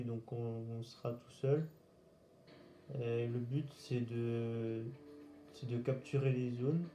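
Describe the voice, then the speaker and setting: A man giving casual gaming commentary in a halting, hesitant way, searching for words. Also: medium pitch, mellow. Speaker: gaming video host. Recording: roomy echo, faint background noise.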